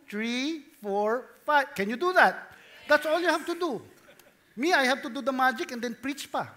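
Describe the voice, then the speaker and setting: A man in his thirties with a Filipino accent preaches as a pastor, switching between English and Tagalog in an animated, playful way with questions to the congregation. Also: very high voice. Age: 30s. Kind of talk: sermon mixing English and Tagalog.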